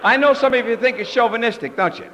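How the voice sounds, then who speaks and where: Older man, very high voice, candid story at a recovery meeting, long hall reverb. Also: fast, background noise. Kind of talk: personal story talk.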